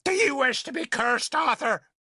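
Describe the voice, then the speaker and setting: A man voicing a fierce, fanatical pagan priestess in an audiobook, shrill, belligerent and sneering. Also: slow, gravelly, pushed and bright, no room sound, studio quality, heavily acted. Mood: sneering, menacing. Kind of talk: dramatic audiobook character voice.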